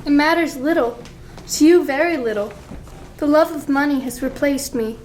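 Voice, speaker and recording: A woman playing a sorrowful, earnest young fiancée in a radio play, with formal, deliberate delivery. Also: sits high, a little mellow, slight background noise, lightly acted, rough recording. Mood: resigned reproach. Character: sorrowful, principled young fiancée releasing her betrothed.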